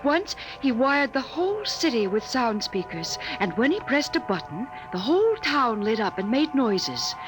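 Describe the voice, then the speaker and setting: Enigmatic young wife, higher voice with expressive swings, formal and serene, vintage radio with faint music. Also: female voice, low-quality recording. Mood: proud, mysterious. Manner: formal, measured. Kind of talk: 1950s radio drama acting.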